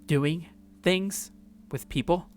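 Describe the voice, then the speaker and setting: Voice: medium pitch, takes it slowly, slight background noise, pitched above the performer's own voice, close to an everyday voice. A performer reading a visual novel and voicing an upbeat young woman who earnestly coaxes her date. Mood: concerned, gentle. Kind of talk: visual novel character read-aloud.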